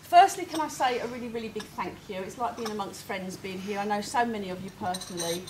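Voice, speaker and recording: A woman with a big, carrying voice talks in a chatty, familiar way, like someone updating supporters informally. Her pitch is average, and there is clear noise in the room behind her. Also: darkish tone. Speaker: hospice fundraising representative. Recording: noisy room ambience.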